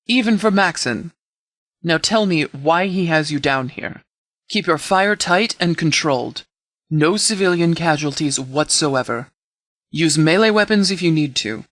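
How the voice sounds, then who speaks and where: A man's voice: a little high, a bit bright, no echo, clean studio sound. A man playing a stern, blunt, battle-weary military leader in a video game, with a firm, clipped, authoritative delivery. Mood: angry, confrontational. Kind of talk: video game dialogue acting.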